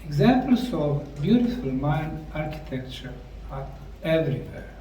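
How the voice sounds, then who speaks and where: A middle-aged man slowly narrating a documentary on location at ancient ruins, explaining the sites like a tour guide. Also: fairly high pitch, sing-song, warm tone, slight background noise. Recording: roomy, distant mic with faint outdoor ambience.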